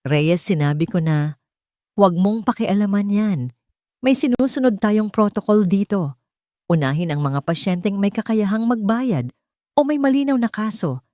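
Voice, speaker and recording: A woman's voice: medium pitch, expressive, normal speed, warm tone, no echo, studio quality, pitched above the performer's own voice, close to an everyday voice. A woman voicing a cold, contemptuous female hospital supervisor who barks orders with scorn. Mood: scornful. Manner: scornful, commanding. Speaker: nurse supervisor.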